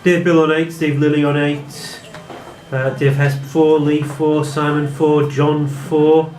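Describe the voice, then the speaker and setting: Higher-voiced male scorekeeper in an echoey pub. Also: level tone, takes it slowly, a little mellow. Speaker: quiz scorekeeper and timekeeper. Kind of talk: live score announcing and casual asides. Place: indoors in a pub. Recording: echoey pub room with crowd noise.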